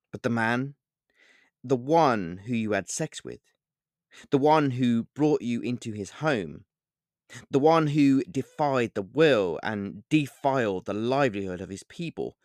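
A man's voice: medium pitch, a little mellow, no echo, studio quality, natural voice. A man voicing a smug, menacing male vampire king who talks grandly and savors each word, in a storybook reading.

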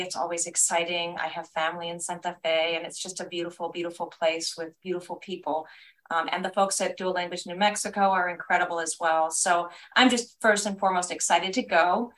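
A woman's voice: fairly low pitch, fairly bright tone, poor audio. An American woman, a guest on an interview podcast, talking enthusiastically about her work with language education. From United States.

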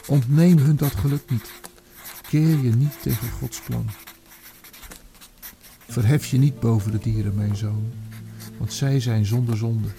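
An actor playing a remorseful old army commander counselling his son, in a solemn, deliberate radio drama monologue. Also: medium pitch, creaky, mellow. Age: older.

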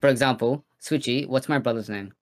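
A man's voice: mid-range voice, brisk, no echo, clean studio sound. A man from New Zealand with a New Zealand accent, chatting and teasing on a podcast. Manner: laughs often, teasing. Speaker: podcast host. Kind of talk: casual chat.

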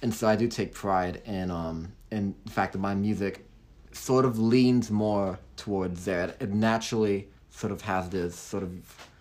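A young man from Hong Kong with a light British-tinged American accent, a singer-songwriter guest talking haltingly in a casual interview. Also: on the low side, fairly even intonation, a little mellow. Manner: halting, many restarts and fillers.

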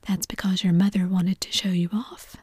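A gushing young society woman, as a woman whispers her, crooning and flighty. The whisper is mellow and breathy. It sounds close and dry. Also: on the low side, level tone, normal speed, no room sound.

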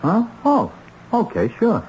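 On a dull old radio recording, an evasive, bullying small-time counterfeiter. His medium-pitched voice moves around a lot as he blusters in folksy, halting speech. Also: wildly swooping pitch, a little mellow, slight background noise, heavily acted. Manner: folksy, blustering, stammering under pressure. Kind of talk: vintage radio drama acting.

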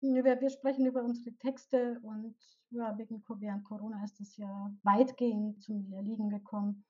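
A woman's voice: mid-range voice, little pitch movement, darkish tone, muffled recording. A woman from Bavaria with a light Bavarian accent and rolled R, a poet explaining her work thoughtfully as an interview guest. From Bavaria, Germany. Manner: thoughtful, explains with pauses and restarts. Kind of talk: casual interview.

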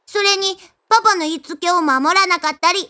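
A woman's voice: very high-pitched, fairly quick, sharp tone. A woman voicing a cheeky, teasing boy god with a mock-innocent, childish way of talking, in a comedy audio drama. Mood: playful teasing. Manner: playful, mock-innocent, quirky childish sentence endings.

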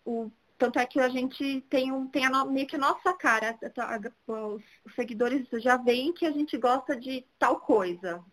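A woman's voice: high-pitched, normal speed, hardly any pauses, fairly bright tone, low-quality recording. A woman, talking casually as an interview guest. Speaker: interview guest, social media city guide creator. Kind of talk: casual chat.

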